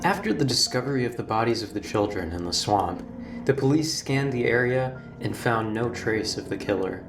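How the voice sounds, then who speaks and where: A man narrating a scripted horror documentary in a measured, formal storytelling manner. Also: medium pitch, animated. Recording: roomy, distant playback with music. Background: background music.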